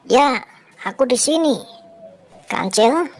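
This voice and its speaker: Woman doing a small clever snail, pitched higher. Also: expressive, slow, exaggerated voice. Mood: bright.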